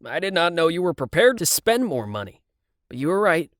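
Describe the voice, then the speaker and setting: List: man doing a slippery, nervous male producer, wheedling, raised pitch, lively. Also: brisk, no room sound, studio quality.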